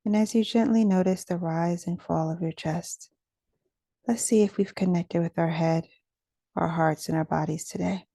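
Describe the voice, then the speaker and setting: A woman leading a guided meditation in a gentle, soothing, measured way, with pauses between phrases. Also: on the low side, steady tone, creaky, no room sound, studio quality.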